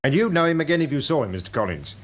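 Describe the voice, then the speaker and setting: An actor playing a methodical police inspector in a radio drama, questioning witnesses in a formal, probing manner. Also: male voice, a little high, very animated, very fast. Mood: probing, brisk.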